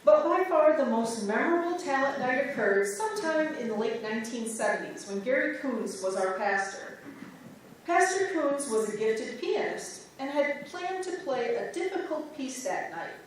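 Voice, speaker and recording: Lady reading church history, higher voice, lots of echo, far from the mic. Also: expressive, normal speed, slight background noise. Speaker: church reader. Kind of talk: reader's theater narration. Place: large church sanctuary.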